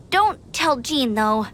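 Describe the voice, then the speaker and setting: A woman's voice: high-pitched, big pitch swings, extremely slow, fairly bright tone, full character voice. An actress playing a perky, eager young woman knight in a video game, chirpy and emphatic, with music underneath. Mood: chatty, excited. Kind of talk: animated video game voice acting.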